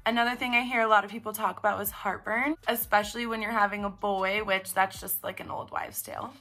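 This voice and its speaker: A young woman with a raspy, congested voice, chatting casually in a vlog. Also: mid-range voice, steady tone, fairly quick, bright, forceful tone, soft background music. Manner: chatty, upbeat, filler-heavy. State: congested, stuffed up. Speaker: vlogger. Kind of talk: casual pregnancy recap vlog.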